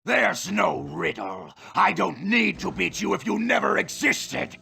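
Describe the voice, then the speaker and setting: A man voicing a sardonic, menacing monster overlord in a video game, gravelly, sneering and theatrical. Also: high-pitched, sing-song, unhurried, pushed and bright, heavily acted. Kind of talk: video game villain acting.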